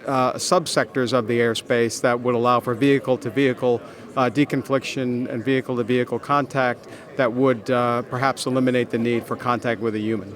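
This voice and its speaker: A man answering interview questions as a business executive, explaining in halting phrases with frequent pauses and repeated words. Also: medium pitch. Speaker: consultancy executive, interview guest.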